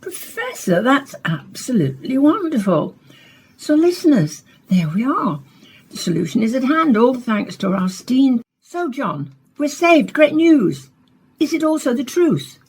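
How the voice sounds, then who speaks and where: A woman playing a dutiful radio broadcaster in a dystopian science fiction play, with a slightly husky voice and scripted delivery. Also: sits high, big pitch swings, measured pace, a little mellow, exaggerated voice. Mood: gushing, then wry, direct. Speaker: radio interviewer and narrator. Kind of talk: scripted radio drama monologue and interview.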